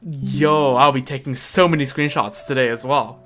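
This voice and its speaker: A man with a bright, forward voice comments on a game live. English is his second language, and his talk is casual and halting, with some music behind it. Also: mid-range voice, fairly even intonation. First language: not English. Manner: halting, casual, reacting aloud. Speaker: game streamer. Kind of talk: live game stream commentary, reading lore aloud.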